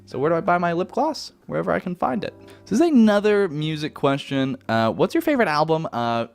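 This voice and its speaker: Young canadian dude rambling thru viewer questions, really bouncy pitch, soft music under it. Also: male voice, sing-song, fairly quick, gravelly. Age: young adult. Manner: rambling, self-correcting, laid-back. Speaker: YouTuber answering viewer questions. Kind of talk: casual Q&A chat.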